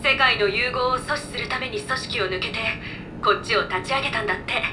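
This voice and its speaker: A woman's voice: fairly high pitch, a little fast, over music, close to an everyday voice. A woman voicing an easygoing, knowledgeable floating robot in a video game, explaining things casually. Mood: matter-of-fact, breezy. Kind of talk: video game voice acting, exposition.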